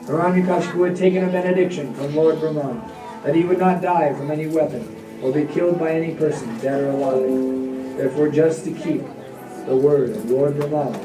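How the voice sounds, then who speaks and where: A storyteller with a mid-range voice narrates a devotional tale in measured phrases. The sound is roomy, with music playing along. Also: mid-range voice. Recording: echoey, distant, music behind.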